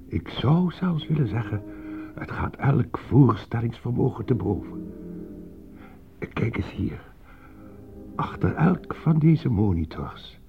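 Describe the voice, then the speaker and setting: Radio play villain: mad scientist, gravelly, unhurried, pompously proud, music behind. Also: male voice, fairly low pitch, exaggerated voice. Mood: patronising, proud. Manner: grandiloquent, lecturing, self-satisfied. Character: grandiose male mad scientist, proud of his life's work. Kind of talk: radio drama acting. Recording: narrowband radio play recording with loud music.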